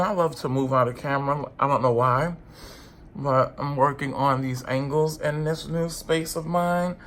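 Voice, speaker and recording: A man's voice: mid-range voice, fairly bright tone, slight background noise. A man with a stuffy, congested voice, chatting casually and sassily to camera as a beauty vlogger. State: congested with a cold. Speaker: beauty vlogger reviewing foundation.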